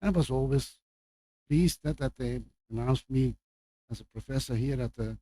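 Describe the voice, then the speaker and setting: Older male guest professor, Flemish Belgian accent, mid-pitched and very mellow, addressing an audience in an informal, halting lecture style. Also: dry recording. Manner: halting, with repeated words and asides.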